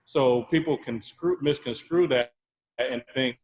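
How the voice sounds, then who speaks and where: Halting man in an echoey room. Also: medium pitch, darkish tone, muffled recording. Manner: halting, restarts sentences, polite. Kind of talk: casual video-call conversation. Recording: echoey room with long reverb.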